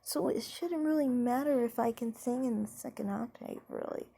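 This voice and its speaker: A woman's voice: a little high, creaky, dark tone, husky. A young woman who sings, talking casually about her voice type in a rambling, hesitant way with many fillers. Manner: speaks slowly. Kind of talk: casual vlog chat.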